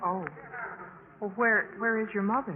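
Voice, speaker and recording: A woman's voice: medium pitch, fairly even intonation, moderate pace, lightly acted. An actress playing an earnest, hesitant young college woman in a 1950s radio drama. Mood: hesitant, sympathetic. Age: young adult. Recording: muffled, crackly 1950s radio recording.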